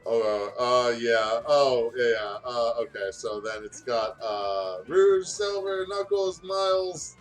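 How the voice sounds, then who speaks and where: A man's voice: mid-range voice, slow pace, hardly any pauses. A man hosting a let's play, casually reading fan fiction aloud with a goofy, giggly, stumbling delivery. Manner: giggly, goofy, often stumbling over what he reads. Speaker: host of a let's play channel. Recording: music playing behind the voice. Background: music.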